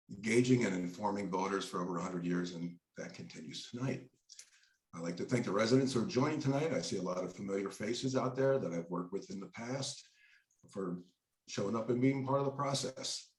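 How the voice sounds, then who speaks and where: A congested, middle-aged man from New Jersey gives a prepared opening statement as a debate candidate, pausing nervously for breath. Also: low-pitched, reverberant, low-quality recording.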